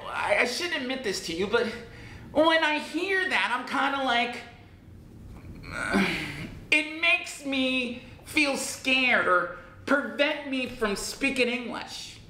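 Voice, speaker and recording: A middle-aged man playing his own chatty, self-doubting cousin, an English learner, talking to camera in a small room. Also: full character voice. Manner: animated, gestures a lot, repeats and restarts. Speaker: English teacher in character. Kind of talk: playful character monologue to camera. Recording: roomy echo, faint rumble.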